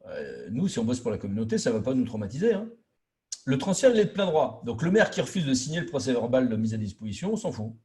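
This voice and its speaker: A man speaks as a trainer in an informal lecture. His voice sits at a medium pitch. He explains things didactically in colloquial language and leans on key words for emphasis. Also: very animated, quick pace, a little mellow. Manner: colloquial and didactic, acting out imagined quotes. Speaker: trainer lecturing local officials on intermunicipal law.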